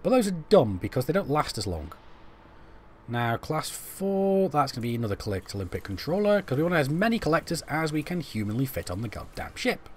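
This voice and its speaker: A man's voice: fairly high pitch, very animated, brisk, a little hiss or hum. A young British man casually commentating a live game stream, rambling and joking at his own expense while chatting to viewers. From Britain. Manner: rambling, self-deprecating, chats to viewers while fiddling with menus.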